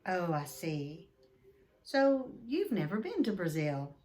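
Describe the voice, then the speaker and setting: A woman voicing a curious, gentle young boy in a storybook, asking friendly questions. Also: on the low side, sing-song, slow pace. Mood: curious, sympathetic.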